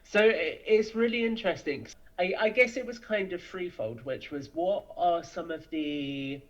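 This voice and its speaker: A man's voice: high voice, unhurried, a bit bright, highs cut off. A man giving conversational interview answers as a podcast guest, explaining things with enthusiasm. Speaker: podcast guest, audio drama creator.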